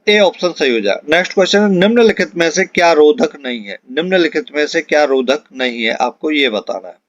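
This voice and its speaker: A man teaching exam prep, reading out quiz questions and answers in an instructional way with deliberate repetition. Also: fairly high pitch, lively intonation. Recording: dull, lo-fi close dry recording.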